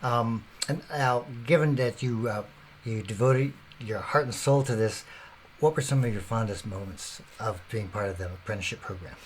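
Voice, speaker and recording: Man asking gentle, halting questions. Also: lowish voice, a little hiss or hum, poor audio. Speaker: interviewer, host. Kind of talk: interview questions.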